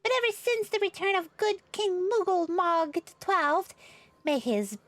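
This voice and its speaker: A woman's voice: very high-pitched, big pitch swings, measured pace, no room sound, pitched above the performer's own voice, exaggerated voice. A woman voicing a small, earnest moogle creature from a fantasy game, theatrical and reverent as it tells its story. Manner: theatrical, gushing, exclaims a verbal tic. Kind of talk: character voice reading game dialogue.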